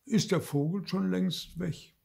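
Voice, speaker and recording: An older man voicing a sneaky, calculating cat in a storybook reading, musing aloud with drawn-out hums. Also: high-pitched, creaky, a little mellow, slightly rough, pitched above the performer's own voice, exaggerated voice. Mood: pondering.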